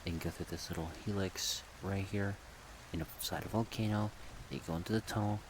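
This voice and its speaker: A man talking softly in a casual, halting ASMR ramble. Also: low-pitched. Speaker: ASMR creator rambling about a project. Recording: steady rain-like background noise.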